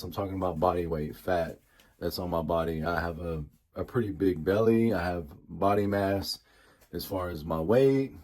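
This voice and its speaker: A man in his late thirties with a fairly low voice talks casually to his viewers as a vlogger. He rambles through his review, circling back to repeat and rephrase his points. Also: a little mellow. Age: late 30s. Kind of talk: casual talk to camera.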